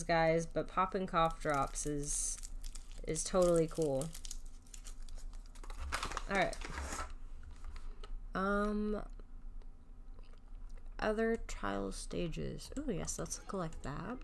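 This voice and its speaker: A woman's voice: mid-range voice, very slow, creaky, pauses often. A woman doing casual live video game commentary, chatty and self-mocking as she thinks aloud.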